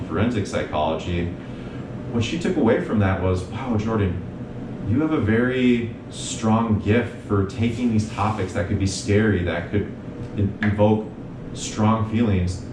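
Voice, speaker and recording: A young man speaks in an earnest, measured way, recounting his path as a guest. His slightly low voice sits back from the mic in a roomy, echoing space. A steady rumble hums beneath. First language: English. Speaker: guest, mental health provider. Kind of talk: reflective interview talk. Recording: echoey room, distant mic, noisy rumble.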